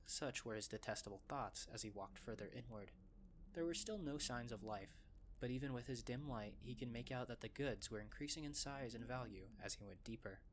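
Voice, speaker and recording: Man narrating a story at medium pitch. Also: slight background noise.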